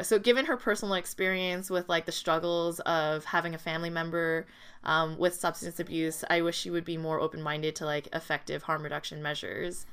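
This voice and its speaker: Bright, clear-voiced woman co-hosting a podcast. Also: on the low side. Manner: conversational, peppered with "like". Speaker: podcast co-host. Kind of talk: casual news commentary.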